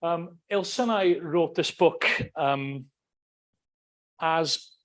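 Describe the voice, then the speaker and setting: A man's voice: a little high, drawn-out words, fairly bright tone. Welsh man giving a lecture. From Wales. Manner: conversational, with frequent ums and restarts. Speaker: academic speaker. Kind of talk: lecture talk.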